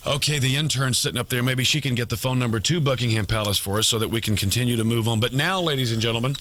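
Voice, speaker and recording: A man's voice: medium pitch, quick pace, fairly bright tone, slight background noise. An American man hosting a radio comedy show, bantering as the straight man. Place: radio studio. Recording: music behind radio broadcast. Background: music.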